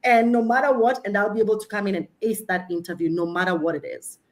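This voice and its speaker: A woman's voice: medium pitch, quick pace. A woman from Kenya with a Kenyan accent, a career coach speaking emphatically on a panel. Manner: emphatic, animated, preaching self-promotion with humor.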